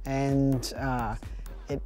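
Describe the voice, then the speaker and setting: A man in his thirties talks through his handmade props in a mellow, relaxed voice, chatty and loose with the odd "uh" and "like". Faint music plays under him in an indoor workshop. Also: mid-range voice, very slow. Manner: relaxed, chatty, explaining with fillers. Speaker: prop maker. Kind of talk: casual show-and-tell narration. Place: indoor barn workshop with arcade machines.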